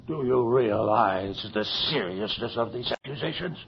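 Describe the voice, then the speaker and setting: A man's voice: medium pitch, animated, normal speed, natural voice. An actor playing a haughty, domineering magistrate of the king in a radio horror drama, speaking in formal, pompous phrases. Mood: pompous proclaiming. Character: stern, haughty magistrate of the king, contemptuous of peasants. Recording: dull, noisy old radio recording.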